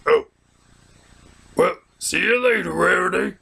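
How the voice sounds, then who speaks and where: A man voicing a clueless, clingy cartoon pony with a gravelly, raspy voice and stammering, repeated greetings. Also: sits high, unhurried, pushed and bright, full character voice. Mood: eager, pestering.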